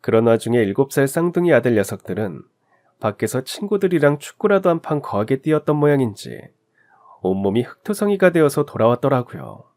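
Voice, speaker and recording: A man narrating a heartfelt life story in a scripted, expressive reading. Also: medium pitch, brisk.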